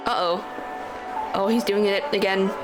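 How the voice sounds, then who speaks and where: A woman's voice: mid-range voice, steady tone, a little slow, poor audio. A woman streamer giving short, casual reactions during a game playthrough. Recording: game music behind the voice.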